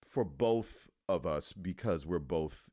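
Excitable low-voiced man hosting a podcast. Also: slow pace, no echo, clean studio sound. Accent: Philadelphia. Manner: excitable, laughs often, slips into goofy voices. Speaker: podcast host. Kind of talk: casual interview chat.

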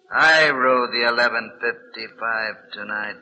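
An actor playing the sardonic, commanding ghost of a dead train engineer in an old radio horror drama. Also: male voice, mid-range voice, bright, forceful tone. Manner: slow, ominous, taunting with chuckles. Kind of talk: 1940s radio horror acting. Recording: muffled old radio recording with noise.

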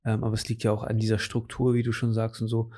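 A man's voice: lowish voice, completely flat delivery, quick pace, mellow. Casual late-20s man rambling about lifting. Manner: rambling, many fillers and restarts. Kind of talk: casual chat.

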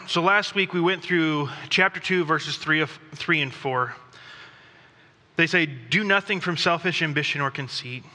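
A man who grew up in Thailand, preaching a sermon in a conversational, self-deprecating way with pauses and restarts. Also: fairly high pitch, pushed and bright. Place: church sanctuary. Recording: long reverb of a church hall.

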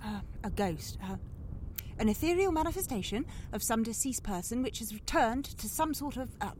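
A woman's voice: on the high side, lively intonation, normal speed, a little mellow, a little hiss or hum, natural voice. A British woman playing a well-bred, self-conscious young Victorian lady in an audio drama, speaking politely and formally with some hesitancy. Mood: embarrassed, earnest. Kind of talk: audio drama acting in period English.

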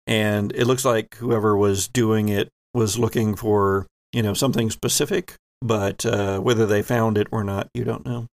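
A man narrating a tabletop role-playing game as game master in a deliberate, descriptive way. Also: fairly low pitch, steady tone, no room sound.

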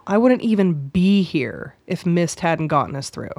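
A woman's voice: on the low side, very animated, a little slow, a little mellow, no echo, full character voice. Radio drama rebel: feisty woman, slangy and sarcastic, swooping intonation, close dry sound. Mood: agitated, indignant. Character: feisty, foul-mouthed, indignant young woman civilian. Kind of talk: radio play acting.

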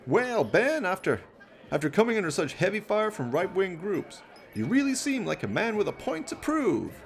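A man voicing a gushing, excitable male television news reporter in a radio play, full of exclaiming showmanship. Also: high-pitched, normal speed, vocal fry, voice pushed up, full character voice. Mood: eager, probing.

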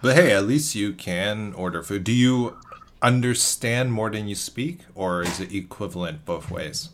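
A man's voice: lowish voice, unhurried, a little hiss or hum. A man who is an actor, interviewing a guest in a casual, easygoing chat with curious questions.

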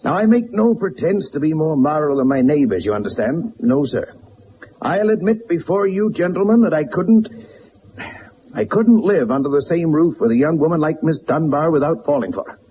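An actor playing a proud, wealthy middle-aged businessman, speaking bluntly and haltingly, as if confessing, in a radio drama. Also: a little high, lively intonation, mellow, close to an everyday voice. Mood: pained, confessional. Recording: dull, noisy old radio drama recording.

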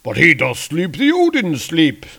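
A man's voice: fairly high pitch, huge pitch swings, unhurried, gravelly, sharp tone, voice pushed up, heavily acted. A man voicing a rotund, boastful but cowardly warrior in a comic-book story, blustering in archaic speech. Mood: blustering worry.

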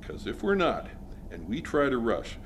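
A man's voice: mid-range voice, wildly swooping pitch, normal speed, slight background noise. A man performing a scripted comic sketch, with a jokey but earnest delivery. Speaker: sketch writer and guest performer.

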